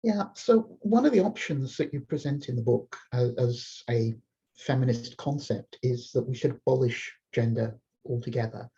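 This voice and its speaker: A measured interviewer with a gravelly, husky voice and a dark tone. The sound comes through dull and lo-fi, as if from a remote link. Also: mid-range voice. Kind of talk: interview questions.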